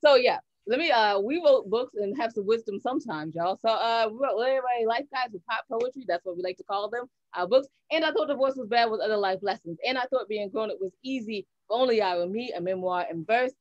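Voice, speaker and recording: Playful folksy lady podcast host, highish voice, bantering casually, dry but kinda dull sound. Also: expressive, quick pace, hardly any pauses, no echo. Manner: playful, folksy, says y'all often.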